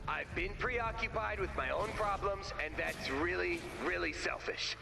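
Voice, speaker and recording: A man's voice: sits high, a little slow, gravelly, echoey room, loud music behind the voice. A man playing a scared, chatty, self-deprecating young guy in comedic game dialogue, rambling and apologetic. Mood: sheepish.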